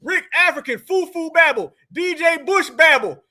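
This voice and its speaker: A man hosting a live stream, loud and hyped, calling the audience family. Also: very high-pitched, lively intonation, normal speed. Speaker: livestream host. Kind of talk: animated live-stream banter.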